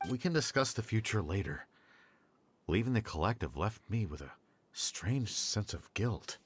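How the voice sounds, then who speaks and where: A man's voice: lowish voice, big pitch swings, moderate pace, breathy edge, no room sound. A man playing a subdued, remorseful academy scholar in a video game, earnest and reflective. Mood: regretful.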